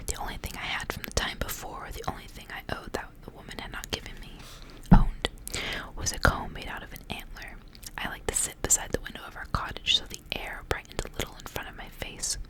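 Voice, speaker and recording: Female ASMR storyteller, fully whispered, hushed and deliberate delivery of literary fairy-tale prose. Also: rough recording. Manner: soft unvoiced whisper, hushed and deliberate, phrase by phrase.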